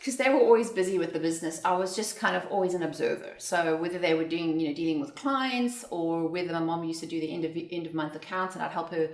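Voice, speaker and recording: A South African woman, an entrepreneur and interview guest, telling her story in an expressive conversational way and laughing often. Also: lowish voice, fast talker, hardly any pauses, reverberant. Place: indoors at a home.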